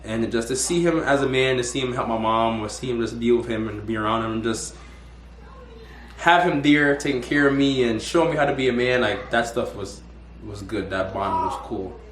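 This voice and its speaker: A man's voice: mid-range voice, moderate pace, fairly bright tone. Rambling young man hosting. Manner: rambling, restarts and repeats words. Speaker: host. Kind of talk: casual interview. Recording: echoey room.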